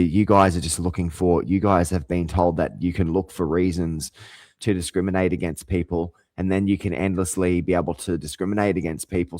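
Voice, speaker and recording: A man's voice: sits low, darkish tone, no echo. A man delivering a rambling, profane rant as a vlogger. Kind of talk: rambling monologue.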